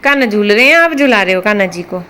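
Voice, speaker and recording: A woman's voice: mid-range voice, lively intonation, fast, pushed and bright. A woman in her thirties from Himachal Pradesh, chatting casually in a home vlog as a mother talking about her household and her kids. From Himachal Pradesh, India. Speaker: vlogger, mother. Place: home indoors. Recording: noisy home recording.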